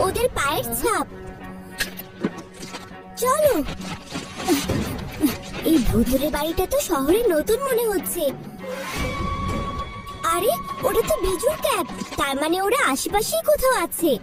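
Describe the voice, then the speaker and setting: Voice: very high voice, big pitch swings, measured pace, long pauses, full character voice. An actor playing a plucky, teasing child hero in a dubbed children's cartoon. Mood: curious, concerned. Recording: loud background music.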